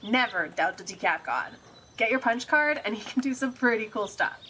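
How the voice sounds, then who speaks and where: A woman playing a sassy, easily exasperated detective's sidekick in an audio drama, teasing and incredulous in a brisk way. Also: a little high, expressive, pushed and bright, a little hiss or hum. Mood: casual, knowing.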